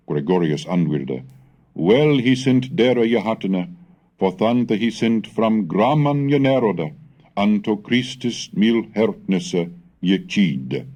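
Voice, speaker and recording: A man giving a formal, measured scripted reading, declamatory, in the style of an educational recitation of old texts. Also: lowish voice, mellow. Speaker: reader and narrator of a language history recording.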